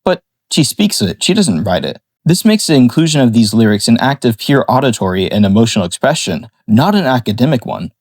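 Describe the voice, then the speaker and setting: A man reading a scripted analysis of a song in a measured, explanatory way. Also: mid-range voice, sing-song, no echo, clean studio sound. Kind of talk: scripted narration.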